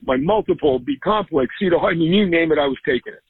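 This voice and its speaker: A man talks quickly and emphatically, with a husky edge to his voice. The sound is thin and phone-like. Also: on the high side, very animated, no echo. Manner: emphatic, salesy. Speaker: customer giving a testimonial. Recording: phone-line audio.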